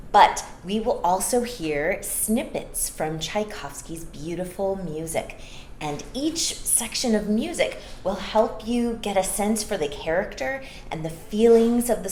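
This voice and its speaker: A woman reading a children's story aloud, adding gentle, teacherly explanations for young listeners. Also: mid-range voice. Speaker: storytime reader. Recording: roomy echo, some background noise.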